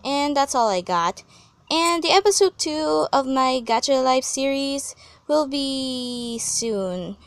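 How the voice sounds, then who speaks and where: A woman's voice: high-pitched, expressive, slow pace, pauses often, clean tone, no room sound. A young woman who makes comic dubs, talking casually to her viewers. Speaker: channel creator and comic dubber.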